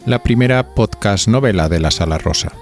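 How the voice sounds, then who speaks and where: A man's voice: on the low side, animated, normal speed, music in the background. A man with an accent from Spain hosting a podcast, narrating in a chatty, scripted gossip style with a playful, confiding manner that speaks straight to listeners. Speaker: podcast host.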